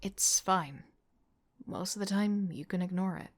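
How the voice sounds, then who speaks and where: Audio drama narrator, female actor: young leader, dry-witted, unhurried, lowish soft breathy delivery, close dry sound. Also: no room sound, close to an everyday voice. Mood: guarded.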